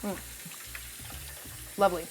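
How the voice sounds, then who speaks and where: A woman's voice: slow pace, low-quality recording. A young woman hosting a live cooking stream. She talks to her viewers in a chatty, upbeat way, often calling them "guys" and hyping them up. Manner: upbeat, hypes up the audience.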